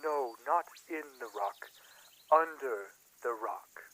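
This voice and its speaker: A man's voice: medium pitch, lively intonation, slow, a bit bright, rough recording. A man voicing a fussy, timid magical bird servant, prim and indignant, in an audio drama. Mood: relieved, fussy. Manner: prissy, hesitant, indignant.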